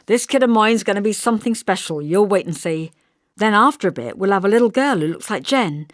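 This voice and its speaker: A woman's voice: medium pitch, lively intonation, fairly quick, no echo, studio quality, voice pushed up, lightly acted. A woman voicing an offhand, friendly young working man with casual, colloquial speech in an audiobook. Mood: proud, offhand. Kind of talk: audiobook character voice.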